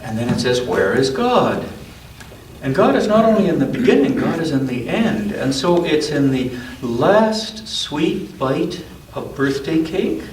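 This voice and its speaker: A man reads a storybook to children in an unhurried way, with a very animated pitch and frequent questions about the pictures. He is recorded from far off in an echoey church space, with some background noise. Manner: pauses to ask listeners questions about the pictures. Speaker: storybook reader at a church story time for all ages. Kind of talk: reading a picture book aloud to children, with asides. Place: roomy church interior. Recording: echoey room, distant mic, background noise.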